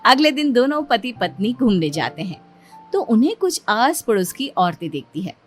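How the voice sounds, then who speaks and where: A woman's voice: fairly high pitch, very animated, normal speed, faint music behind. A woman narrating a scripted story as its storyteller.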